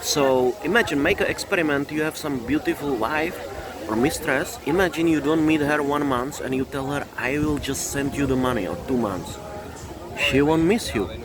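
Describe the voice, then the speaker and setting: Halting man vlogging. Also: fairly high pitch, crowd noise. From Czech Republic. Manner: halting, restarts phrases, repeats himself. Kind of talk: opinionated vlog monologue.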